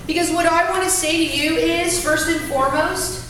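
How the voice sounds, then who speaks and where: High-voiced woman speaker in an echoey room. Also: normal speed, distant mic, noisy background. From California. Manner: energetic, self-deprecating, speaks about having a loud voice. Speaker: guest speaker. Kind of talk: motivational talk to a live audience. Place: meeting room with small audience.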